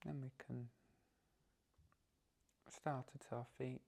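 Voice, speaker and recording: A man leading a guided meditation as a teacher, speaking calmly and without hurry, with long pauses between phrases. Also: medium pitch, creaky.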